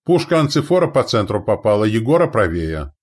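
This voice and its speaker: A man voicing a battlefield lookout who loudly and excitedly reports where shots land, in an audiobook. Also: lowish voice, fairly quick, pitched above the performer's own voice. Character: male battle lookout calling out hits.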